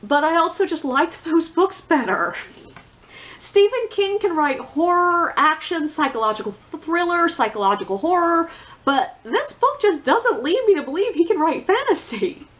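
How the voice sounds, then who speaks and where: A woman's voice: very high voice, sing-song, measured pace, fairly bright tone. A woman giving a casual, opinionated book review in a blunt, sardonic, conversational way with emphatic asides.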